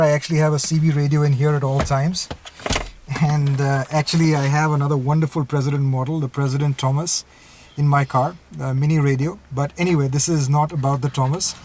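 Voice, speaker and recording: A man's voice: on the high side, fairly even intonation, a little fast, a little mellow. A man giving a casual product demonstration inside a car, explaining things step by step. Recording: clear car-interior background noise. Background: vehicle ambience.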